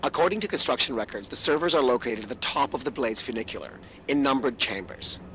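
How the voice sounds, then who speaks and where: A man's voice: mid-range voice, animated, quick pace, a bit bright, slight background noise, close to an everyday voice, rough recording. Snide, fussy tech expert played by a man. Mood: sardonic, explaining. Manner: sarcastic, precise. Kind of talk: video game dialogue.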